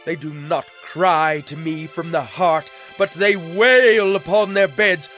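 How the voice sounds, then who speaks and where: Wrathful God for dramatized scripture: fairly high male voice, grave and declamatory, background music. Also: fairly bright tone. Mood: anguished anger. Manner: solemn, declamatory, deliberate phrasing. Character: wronged, wrathful male God pronouncing judgment through prophecy. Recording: music bed under the voice.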